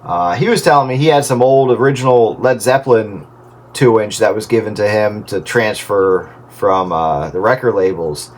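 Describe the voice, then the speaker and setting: A middle-aged man in casual radio chat, explaining things in a halting way. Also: fairly low pitch. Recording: clear steady background noise.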